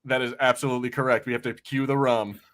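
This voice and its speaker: A man's voice: medium pitch, fairly even intonation, quick pace, fairly bright tone. A man hosting a podcast, chatting informally and loosely as he reviews, often revising himself mid-thought. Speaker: podcast host. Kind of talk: casual review chat.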